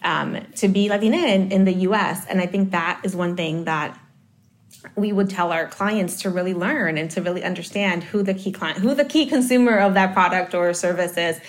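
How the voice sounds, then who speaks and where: A woman's voice: fairly low pitch, normal speed. A woman from the Dominican Republic talking about marketing in a casual conversation, thinking aloud with many fillers and restarts. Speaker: marketing guest.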